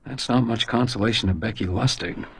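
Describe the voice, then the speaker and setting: An actor playing a weary, stoic hotel detective in a radio crime drama, terse and downbeat. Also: male voice, medium pitch, brisk, fairly bright tone, breathy edge. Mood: weary, defeated. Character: weary, guilt-ridden male hotel house detective, gruff and stoic.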